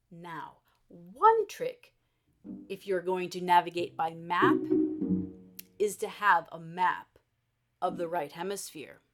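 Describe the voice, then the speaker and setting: A woman storyteller reading a children's story aloud expressively, with theatrical emphasis and dramatic pauses. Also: fairly low pitch.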